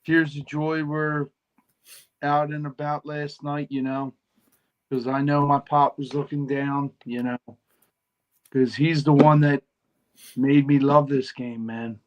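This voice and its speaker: Slow soft-spoken man. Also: on the high side, level tone, lo-fi sound. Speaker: podcast co-host. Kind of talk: casual sports chat.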